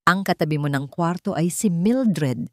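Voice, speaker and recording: A woman's voice: on the low side, animated, unhurried, no echo. A cold, bitter billionaire man, voiced by a woman narrator in a romantic audio drama. He accuses with tight control. The sound is dry and close. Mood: accusing, angry.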